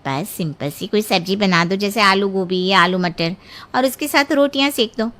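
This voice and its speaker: A woman voicing a brisk, matter-of-fact, bossy in-law from a big household in a Hindi cartoon story. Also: mid-range voice, a bit bright, natural voice.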